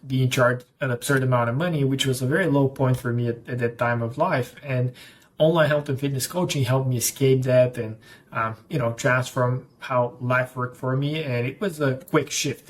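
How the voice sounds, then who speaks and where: A young man from Bulgaria delivers a rapid, energetic pitch straight to camera. His voice sits at an ordinary middle pitch, and he keeps up a brisk sales patter as a business coach.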